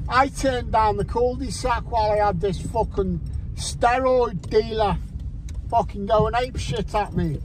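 Brief: middle-aged Scouse driver, high voice, slow sweary chat, loud road noise in the car. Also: male voice. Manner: chatty, sweary, jokey asides to viewers. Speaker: metal detecting vlogger. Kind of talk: rambling casual vlog while driving. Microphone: camera mounted on the dashboard.

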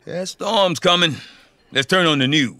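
An actor playing a folksy, apologetic 1950s roadhouse host in a radio play. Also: male voice, on the high side, unhurried, heavy background noise. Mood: flustered, hospitable.

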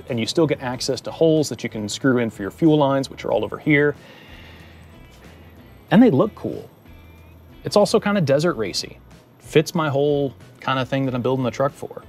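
A man's voice: medium pitch, animated, brisk, faint music behind. A man in his thirties, hosting his own car build channel, casually explaining his work with fillers and restarts, filmed by a nearby camera. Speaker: car builder and shop host. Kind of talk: casual how-to walkthrough. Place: outdoors under a truck, then a home garage.

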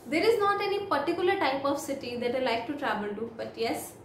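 A woman in her thirties with an Indian English accent, reading exam questions aloud and answering them as model replies, in steady, formal, rehearsed phrasing. She speaks close to a camera in a small room. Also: sits high, fairly quick, reverberant, slight background noise. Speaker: IELTS speaking practice demonstrator.

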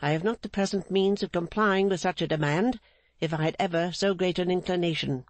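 A man's voice: high-pitched, no room sound, voice pushed up, lightly acted. A man voicing a stern, resolute older woman who speaks firmly and defiantly. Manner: firm, measured, defiant.

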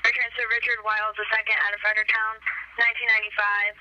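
A woman's voice: on the high side, flat delivery, fast. Female dispatcher on muffled radio. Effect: two-way radio. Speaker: emergency dispatcher. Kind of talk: clipped radio dispatch readout. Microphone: radio transmission played through handheld scanner speaker. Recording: muffled scanner radio, light static.

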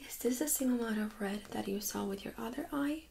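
Soft whispering young woman, ASMR exam. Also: a little high, a little fast, vocal fry, slight background noise. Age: young adult. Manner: gentle, polite, methodical step-by-step instructions. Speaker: ASMR performer playing a medical examiner. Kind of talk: soft-spoken ASMR medical role-play. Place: small indoor studio room.

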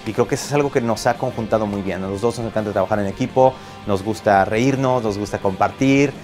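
A man's voice: lowish voice, fast, a little mellow, soft background music. A man in his thirties with a Mexican accent, a hockey broadcaster chatting enthusiastically in an interview in a locker room. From Mexico City. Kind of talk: interview answers.

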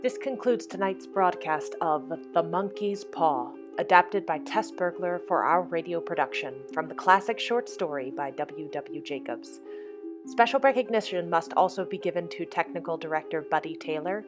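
A woman's voice: lowish voice, normal speed. A woman announcer reading scripted credits and announcements at the end of a broadcast. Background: theme music.

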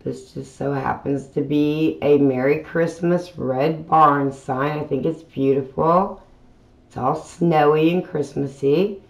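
Slow, plain-spoken woman crafter. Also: low-pitched, steady tone, vocal fry, rough recording. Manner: plain, unhurried step-by-step explaining with fillers. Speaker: craft channel host. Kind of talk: casual craft tutorial voiceover. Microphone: voiceover mic.

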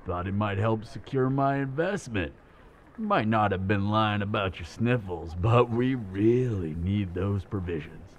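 An actor playing a smug, menacing camp villain in an apocalypse audio drama, taunting in a drawl. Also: male voice, on the low side, lively intonation, measured pace, a little hiss or hum. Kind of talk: dramatic audio roleplay acting.

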